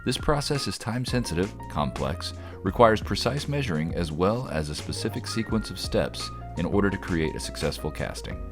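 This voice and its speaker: Deep-voiced, lively male narrator over music. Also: normal speed, few pauses. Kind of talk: scripted promotional narration. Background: music under the voice.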